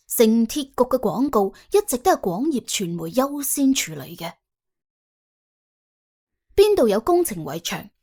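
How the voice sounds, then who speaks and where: Female audiobook narrator, Cantonese, higher register, animated dramatic delivery with a wry, chatty edge. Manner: wry, colloquial, exclamatory. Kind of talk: dramatic scripted storytelling.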